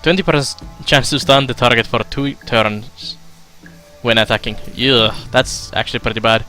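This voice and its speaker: A young man from Finland giving casual let's play commentary, halting with fillers as he reads game text aloud. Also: mid-range voice, sharp tone, faint music behind. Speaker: streamer.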